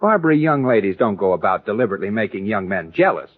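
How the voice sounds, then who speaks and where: A man's voice: lowish voice, very animated, fast talker. An actor playing a reluctant, dry and formal middle-aged father in a 1930s radio comedy. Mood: reluctant, exasperated. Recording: muffled old 1930s radio recording.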